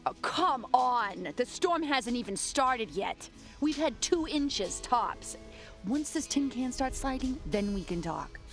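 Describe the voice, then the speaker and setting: Slow-talking, world-weary detective. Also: female voice, fairly high pitch, expressive, soft background music. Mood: exasperated. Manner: blunt, sardonic, informal. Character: world-weary, sarcastic, hard-drinking female police detective. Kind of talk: scripted audio drama.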